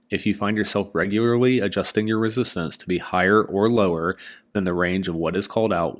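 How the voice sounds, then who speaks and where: A man reading a scripted product review as host, in a measured, informative way. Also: low-pitched.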